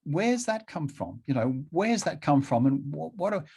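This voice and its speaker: A middle-aged man speaking conversationally as an interview guest, haltingly, often repeating phrases to drive them home. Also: fairly high pitch, very animated, vocal fry, darkish tone, breathy edge.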